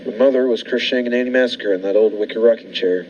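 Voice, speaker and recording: A man's voice: medium pitch, quick pace, darkish tone, slight background noise, lightly acted. A man playing a brusque, controlling hotel-owner bridegroom in an old-fashioned radio thriller, with a curt, ominous delivery. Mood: grim, ominous. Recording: muffled lo-fi radio play with music.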